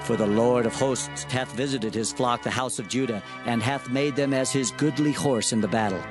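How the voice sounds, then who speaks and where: Man acting a solemn, higher-voiced proclaiming prophet over music. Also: moderate pace, natural voice. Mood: solemn, stern. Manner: declamatory, archaic diction. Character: male prophet solemnly proclaiming divine oracles. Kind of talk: dramatized scripture reading.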